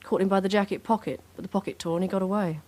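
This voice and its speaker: An actress playing a careful, sober police colleague giving a report in a radio drama. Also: female voice, mid-range voice, fairly even intonation, very fast, darkish tone, natural voice.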